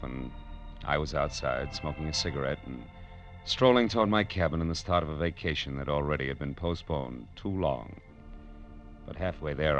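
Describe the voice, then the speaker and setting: A man's voice: extremely low pitch, fairly bright tone, full character voice. A man playing a wry, hardboiled private detective in 1940s radio drama style. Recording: dull vintage radio recording.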